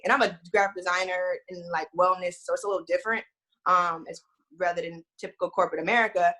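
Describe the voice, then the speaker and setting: A woman's voice: fairly low pitch, fairly bright tone, rough recording. A woman in her late twenties with a Texas accent, talking candidly and firmly in casual conversation. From Houston, Texas.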